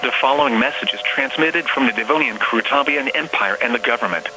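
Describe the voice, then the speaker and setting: A man's voice: mid-range voice, fairly quick. Noisy radio broadcast, formal male announcer. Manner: formal, impersonal. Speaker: weather radio and alert announcer. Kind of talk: scripted emergency broadcast narration.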